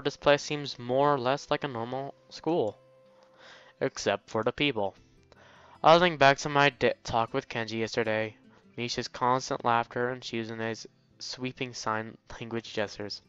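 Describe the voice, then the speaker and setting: A man's voice: mid-range voice, fairly bright tone, clean tone, dry recording, lo-fi sound. A man hosting a let's play, reading a visual novel aloud informally, with jokey asides and stumbles over words.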